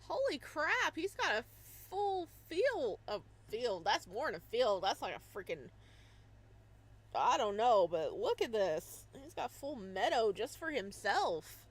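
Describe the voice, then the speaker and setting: A young woman reading a visual novel aloud, breaking off into laughing, excited reactions between passages. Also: a little high, unhurried. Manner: animated, laughing exclamations between narration.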